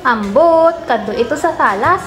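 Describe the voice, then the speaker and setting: A woman's voice: high voice, expressive, moderate pace, fairly bright tone. A woman with a Western Visayan Filipino accent playing a worried, protective older mother and grandmother in a radio drama. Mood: resigned. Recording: echoey room with music bed.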